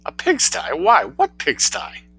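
A man's voice: sits high, wildly swooping pitch, slow pace, sharp tone, slightly rough, voice pushed up, heavily acted. A man voicing an anxious well-to-do mother who scolds in a shocked way. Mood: alarmed.

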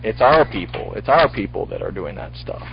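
A man's voice: lowish voice, sing-song, fairly quick, gravelly. A man hosting a casual call-in radio show, rambling and full-voiced, with many restarts. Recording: lo-fi radio stream with faint hiss.

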